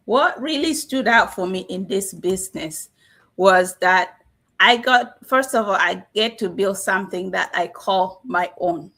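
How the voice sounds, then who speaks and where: A middle-aged woman from South Sudan speaks as an interview guest, her voice carrying a gravelly fry. She tells her story earnestly and with animation, heard over a video call. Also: mid-range voice, measured pace, bright, forceful tone. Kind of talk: testimonial interview.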